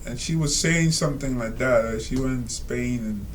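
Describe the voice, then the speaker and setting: A man reading out and commenting on a reader's letter, talking slowly and rambling with many fillers and asides. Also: medium pitch, slight background noise. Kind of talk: casual spoken commentary.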